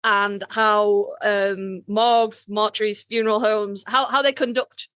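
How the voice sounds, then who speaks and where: A woman's voice: medium pitch, fairly even intonation, a little slow, fairly bright tone, clear, resonant tone, dry recording, studio quality. A woman hosting a podcast in casual, conversational chat. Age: adult, parent. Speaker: podcast host.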